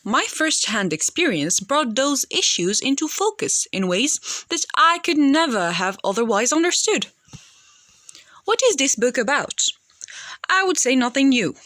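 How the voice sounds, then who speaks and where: A woman's voice: sits high, sing-song, measured pace, a bit bright, dry recording, clean studio sound. A woman reading scripted audiobook narration.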